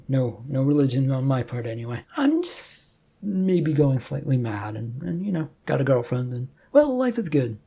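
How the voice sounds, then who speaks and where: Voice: medium pitch, dark tone, breathy edge, full character voice. A storyteller voicing a flustered, skeptical man who speaks hesitantly, stammering and repeating words, in a story. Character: Rufus, nervous, awkward, flustered skeptical man.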